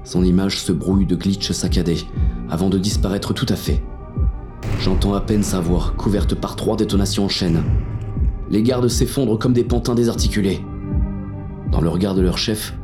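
An actor playing a hard-boiled, bitter police inspector who narrates a thriller in terse, intense first person. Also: male voice, deep voice, moderate pace, music in the background, natural voice. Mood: shocked, urgent. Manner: gritty, terse, intense delivery.

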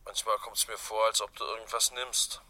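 An actor playing a guarded businessman under questioning in a German radio crime drama, speaking haltingly with an edge. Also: on the low side, little pitch movement, a little hiss or hum. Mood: guarded, reflective. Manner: halting, guarded, sharp-edged. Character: guarded businessman questioned about his dead friend.

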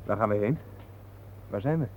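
A male actor in a Dutch radio play, playing a skeptical, excitable photographer who talks bluntly and colloquially, full of questions. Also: fairly low pitch, moderate pace, lightly acted. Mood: doubting, curious. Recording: noisy, thin phone-like old radio recording.

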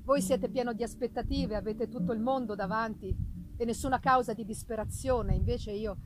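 Woman doing a sad ruined gentleman telling his story, high voice, grave and formal, storybook reading, bit noisy. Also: normal speed, lightly acted. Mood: grave sorrow. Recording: noticeable background noise, live performance reading.

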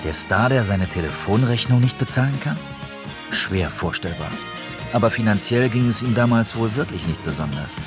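A man's voice: on the low side, lively intonation, normal speed, over music. A man narrating a scripted radio documentary.

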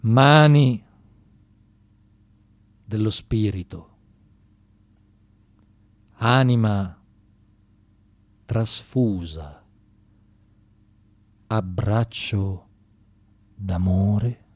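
A man playing a pompous amateur poet in a radio stage satire, declaiming flowery verse in a drawn-out, mock-solemn, overblown way. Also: lowish voice, dry recording, pitched below the performer's own voice. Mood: drawn-out reverence. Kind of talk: mock-solemn poetry recital.

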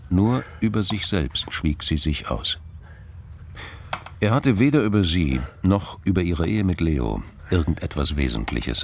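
Deep gravelly guy narrating a crime radio play, measured and understated, faint noise behind. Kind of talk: scripted crime drama narration.

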